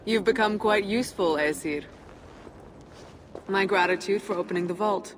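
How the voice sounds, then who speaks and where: An actress playing a proud, wary fire-giant warrior princess in a game cutscene, formal and imperious in manner. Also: female voice, medium pitch, moderate pace, a little hiss or hum, lightly acted. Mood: cool, demanding. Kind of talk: dramatic game cutscene acting. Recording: long hall reverb, faint music behind.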